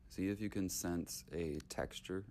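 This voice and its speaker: A man leading a guided meditation in short, unhurried, soothing phrases with long gaps between them. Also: sits low, steady tone, dark tone, a little hiss or hum.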